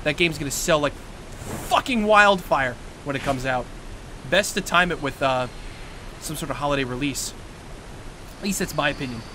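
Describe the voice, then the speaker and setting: A young man with a light tenor voice chatting casually to his live-stream viewers while playing, answering questions and reacting with excited outbursts. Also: a little high, normal speed, pauses often, noisy background. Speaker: let's play streamer. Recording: game soundtrack loud underneath. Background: loud game music.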